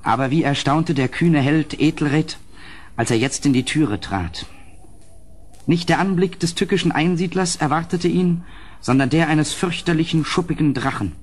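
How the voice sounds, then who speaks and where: A man playing an anxious, well-mannered houseguest in a gothic horror radio play, with a grave, literary delivery and a husky voice. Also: a little high, lightly acted. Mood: dramatic reading.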